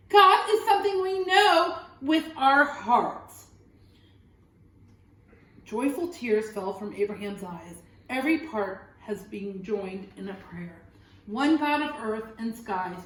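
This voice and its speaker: A woman teacher reading a children's story aloud with expressive, dramatic phrasing and questions to young listeners. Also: a little high, echoey room, low-quality recording.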